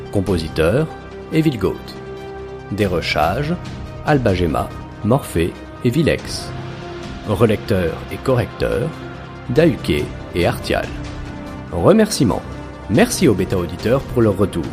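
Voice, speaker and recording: Low, lively male announcer over music. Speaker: announcer reading credits. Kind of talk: scripted credits narration. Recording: music behind the voice.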